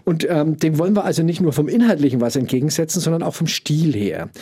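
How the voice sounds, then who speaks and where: A middle-aged man with a Franconian accent, answering interview questions in a measured way, often pausing with fillers as he searches for words. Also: on the high side, lively intonation, warm tone, breathy edge. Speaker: interview guest.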